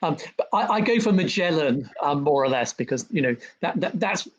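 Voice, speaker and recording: A man with a somewhat high voice talks through history in an interview, full of ums and restarts that break his sentences up. The sound is a little dull and muffled. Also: expressive, brisk. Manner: hesitant, many ums and restarts. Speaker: historian and author, interview guest. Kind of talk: conversational interview about history.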